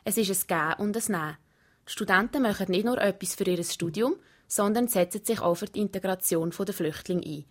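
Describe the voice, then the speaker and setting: A woman's voice: mid-range voice, fairly quick. Young Swiss German woman narrating a report. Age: young adult. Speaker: student reporter. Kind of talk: scripted radio report narration.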